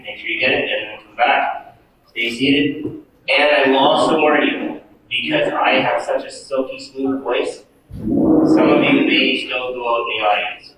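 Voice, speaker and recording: A man's voice: medium pitch, a bit bright, a little hiss or hum. Canadian guy doing hypnosis show banter, kinda far off in a roomy hall. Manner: playful, joking, coaxing volunteers. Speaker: stage hypnotist. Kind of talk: live stage patter to an audience. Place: large banquet hall, live show. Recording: echoey hall, distant mic, music.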